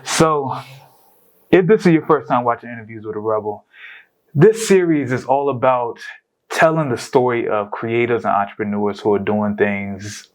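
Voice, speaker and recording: A man hosting an interview show, chatting casually and hyping up his guest, with quick bursts and slow stressed phrases. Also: mid-range voice, poor audio.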